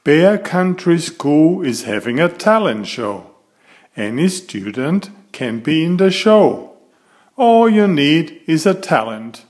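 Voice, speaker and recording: Slow, lively male children's storyteller. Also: fairly high pitch. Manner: expressive, deliberate, storytelling for young children. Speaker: picture-book reader and author of children's stories. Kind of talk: read-aloud children's story narration.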